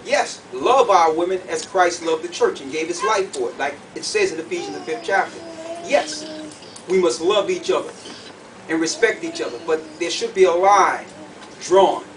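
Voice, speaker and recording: A man's voice: fairly high pitch, lively intonation, normal speed. A man preaching and teaching scripture verse by verse in an emphatic, didactic way, often checking that listeners follow. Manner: emphatic, didactic, checks understanding with short questions. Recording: distant mic, faint background noise.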